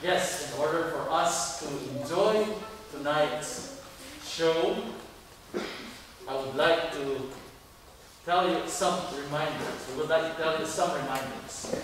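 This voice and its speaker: Male teacher as announcer for a live show, fairly high pitch, long hall reverb. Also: takes it slowly, long pauses, fairly bright tone. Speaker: teacher introducing a school play. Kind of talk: live welcome speech to an audience. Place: large echoing indoor performance space. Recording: very echoey hall from far off, faint noise.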